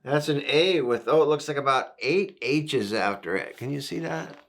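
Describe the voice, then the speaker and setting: A man reading a picture book aloud to young children, gently explaining things and asking the listener questions. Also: medium pitch, normal speed. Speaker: volunteer story reader.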